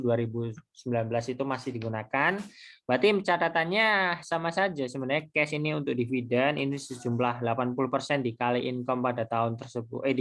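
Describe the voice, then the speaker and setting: A man giving an informal lecture, explaining step by step in a halting way and posing questions to himself. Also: medium pitch. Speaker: accounting lecturer. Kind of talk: explanatory screen tutorial lecture.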